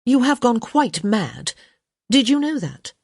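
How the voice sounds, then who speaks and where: A woman's voice: fairly high pitch, very animated, takes it slowly, gravelly, husky, dry recording, clean studio sound, voice pushed up, exaggerated voice. Woman acting a cheeky, sarcastic young lady. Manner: arch, sarcastic, teasing.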